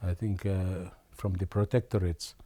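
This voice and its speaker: An older man from Aden, Yemen, slowly recalling memories in an oral history interview, with many pauses and restarts. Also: deep voice, flat delivery, dark tone.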